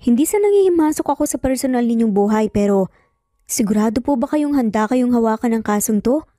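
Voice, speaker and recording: A woman voicing a worried female client who speaks calmly, hesitantly and with deference in a dramatic story. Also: on the high side, a little fast, no echo, studio quality, faint music behind, lightly acted. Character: worried woman client, polite, calm and doubtful.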